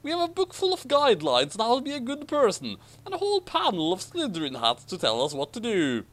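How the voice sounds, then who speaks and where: A man voicing an eager, boastful young schoolboy who talks excitedly in a story reading. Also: high voice, fast talker, pitched above the performer's own voice, exaggerated voice. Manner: excited, declaring confidently.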